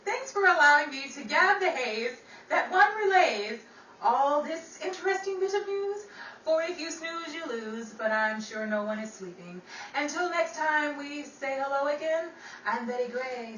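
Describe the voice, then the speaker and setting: A woman's voice: high-pitched, measured pace, fairly bright tone. A woman playing a chatty, wisecracking 1930s radio gossip gal in an old-time radio drama, with theatrical vintage patter. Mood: breezy sign-off. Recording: roomy, distant mic with faint noise.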